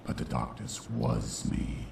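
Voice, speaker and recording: A man voicing a brooding, vengeful shadow pony villain in a comic dub, with a gravelly voice and a theatrical delivery. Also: slow, a little mellow, close to an everyday voice. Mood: grim, dramatic. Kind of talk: dramatic comic dub narration and dialogue. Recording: roomy, distant, faintly noisy home recording.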